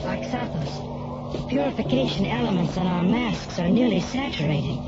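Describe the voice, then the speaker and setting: A man playing a dutiful alien aide to a military commander in a science fiction radio drama, formal and measured. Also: high voice, animated. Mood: formal concern. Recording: dull lo-fi recording, loud music behind.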